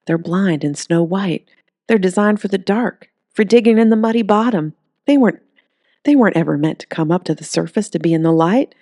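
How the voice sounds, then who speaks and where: Narrator doing worked-up earnest young guy from an audiobook, emphatic, higher pitched, creaky. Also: fairly quick, dark tone, no room sound, studio quality, lightly acted. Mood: urgent. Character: indignant young man, earnest fish-loving small-town hero.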